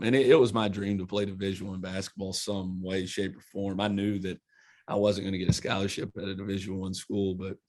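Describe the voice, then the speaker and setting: Low, flat-voiced Eastern Kentucky coach. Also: male voice. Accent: Eastern Kentucky country. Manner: folksy, earnest, repeats words as he restarts. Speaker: college basketball head coach. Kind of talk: casual interview answers.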